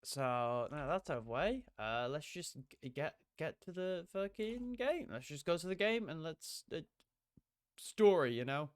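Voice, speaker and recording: A man's voice: a little high, expressive, a little slow, clear, resonant tone. A young man chatting casually on stream, laid-back and swearing, his throat sore from a cold. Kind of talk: casual game stream chat.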